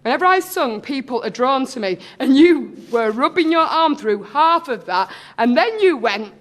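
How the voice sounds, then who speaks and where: A woman in her thirties, a talent show contestant, talking without pause, begging and teasing the judges. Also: high voice, lively intonation. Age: 30s. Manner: nonstop chatter, begging and teasing. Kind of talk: pleading audition banter.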